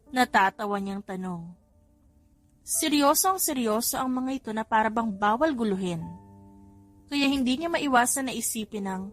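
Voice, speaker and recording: A woman with a high voice narrates a story as an audiobook reader. Her delivery is scripted storytelling, with faint music underneath.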